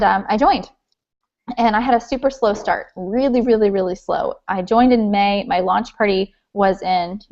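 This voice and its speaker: A woman's voice: mid-range voice, a little mellow, dull audio. A woman in her late twenties, speaking as a guest on an online call, telling her story in a friendly, chatty way. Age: 20s. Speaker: guest speaker, direct sales leader. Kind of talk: informal training talk. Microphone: video call.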